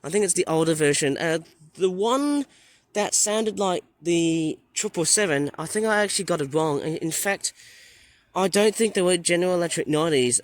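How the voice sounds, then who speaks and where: Croaky man with a flu. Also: fairly high pitch, no echo. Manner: rambling, hesitant, filler-heavy. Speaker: plane-spotting vlogger. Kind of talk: casual running commentary. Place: outdoors by an airport runway.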